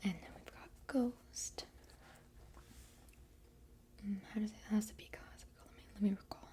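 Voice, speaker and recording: A young woman whispering through a casual album unboxing and softly half-singing song lines, rambling with many fillers. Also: mid-range voice, fairly even intonation, normal speed. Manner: rambling, filler-heavy chatter. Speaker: vlogger.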